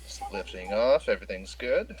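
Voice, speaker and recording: A man's voice: on the low side, expressive, normal speed, a little mellow, slight background noise. Man running a tabletop game. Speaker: game master.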